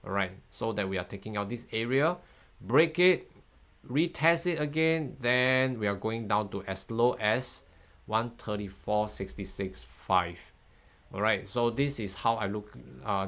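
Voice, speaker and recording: A man's voice: mid-range voice, fairly bright tone. A man in his fifties, a native Mandarin speaker, running a live trading lesson in a chatty, upbeat way, often laughing and thanking viewers. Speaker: forex trading educator. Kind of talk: live-stream chart analysis.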